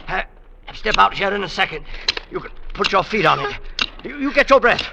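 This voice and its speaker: An actor playing an earnest, ailing mountaineer in old radio drama style, halting and hesitant, reassuring yet unsure of himself. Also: male voice, high voice, big pitch swings, exaggerated voice. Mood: urgent, reassuring. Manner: halting, breathy exertion and hesitant stammers. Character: earnest ailing male mountaineer, reassuring but self-doubting. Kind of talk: 1940s-50s radio drama acting. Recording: noisy vintage radio recording.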